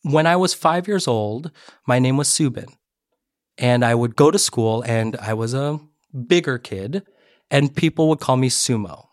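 A middle-aged man, a podcast guest, tells a personal story earnestly in a relaxed interview and often restarts his sentences. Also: medium pitch, a little slow, dry recording, clean studio sound. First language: Korean. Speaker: podcast guest, education advisor. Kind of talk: conversational interview.

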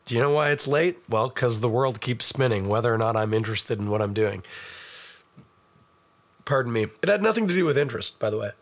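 A middle-aged Canadian man, hoarse and raspy from a cold, hosting a casual podcast and rambling in a self-mocking way to viewers. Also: medium pitch, lively intonation, fairly quick. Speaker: podcast host. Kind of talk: casual live-stream chat.

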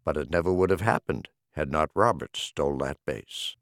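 A man's voice: a bass voice, normal speed, a bit bright, dry recording, clean studio sound. A man reading humorous rhyming poems as an audiobook narrator, with a theatrical, rhythmic delivery. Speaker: audiobook reader.

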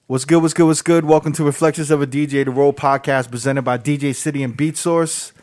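A man's voice: a little high, fairly even intonation, a little fast, a little mellow. A young man hosting a podcast in casual chat, teasing his guests, swearing and laughing a lot. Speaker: podcast host.

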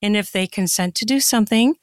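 A woman's voice: mid-range voice, brisk, no echo, studio quality. A woman chatting casually and candidly as an interview guest, with an earnest way of making her points. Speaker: podcast guest, writer and podcaster.

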